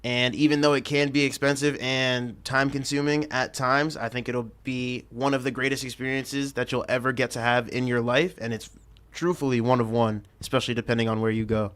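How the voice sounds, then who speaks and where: Teen guy hosting a chat show, clean smooth voice, normal pitch. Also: level tone, a little fast, hardly any pauses, fairly bright tone. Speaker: talk show host. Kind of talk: hosted roundtable chat.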